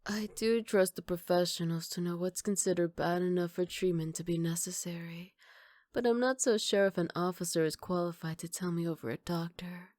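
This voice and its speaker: Woman playing a soft, low-voiced flirty female villain. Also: fairly even intonation, dry recording, studio quality, close to an everyday voice. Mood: coy, mock-polite. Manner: sly, unhurried, mock-polite. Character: flirty, teasing, self-assured female villain in custody. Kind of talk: ASMR roleplay.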